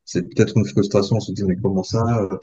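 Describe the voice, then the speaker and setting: Homme posé à la voix grave et sombre. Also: male voice, sits low, flat delivery, mellow, lo-fi sound. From Republic of the Congo. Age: 40s. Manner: measured, thoughtful, with frequent hesitations. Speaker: guest. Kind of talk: reflective interview talk.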